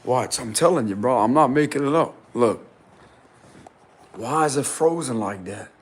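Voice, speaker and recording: A man vlogging casually and insistently from a small rented room, with a deep, loud voice. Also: moderate pace, husky. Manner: excited, insistent, slangy. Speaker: spiritual vlogger. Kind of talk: casual vlog to camera.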